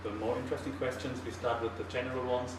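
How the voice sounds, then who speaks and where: A man from Germany hosting a casual student hangout, upbeat and quick to laugh. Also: medium pitch, little pitch movement, noisy background. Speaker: host. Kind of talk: casual chat. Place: classroom. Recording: echoey room, far from the mic.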